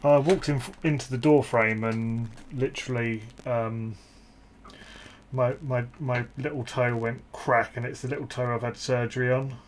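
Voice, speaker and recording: A man streamer chatting casually, quiet and stammering, poking fun at himself. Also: medium pitch, fairly even intonation, moderate pace, a little hiss or hum. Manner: quiet, stammering, self-deprecating. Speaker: streamer and player. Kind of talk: casual chat between game sessions.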